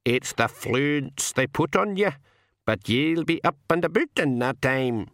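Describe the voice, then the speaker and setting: A man's voice: a little high, lively intonation, unhurried, fairly bright tone, no echo, clean studio sound, voice pushed up. A man voicing a crafty, wizened old male pixie in a storybook, with a cracked voice and a Scottish accent. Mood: calm, welcoming. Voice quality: cracked, aged voice.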